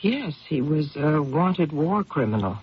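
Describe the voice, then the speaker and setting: An actress playing a steady, concerned confidante with a gently probing manner in a 1970s radio drama. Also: female voice, deep voice, a little slow, breathy edge, exaggerated voice. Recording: very muffled, tinny old radio broadcast.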